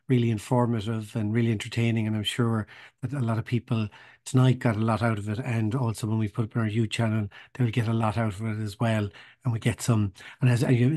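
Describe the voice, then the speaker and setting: A man's voice: mid-range voice, mellow, no room sound, studio quality. A man giving informal closing announcements as a webinar organiser.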